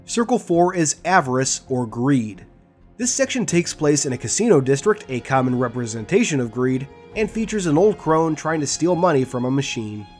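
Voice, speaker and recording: A man's voice: fairly high pitch, sing-song, moderate pace, a little mellow, soft background music. A man presenting a video as its host, reading scripted explanatory narration. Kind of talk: scripted guide and lore narration.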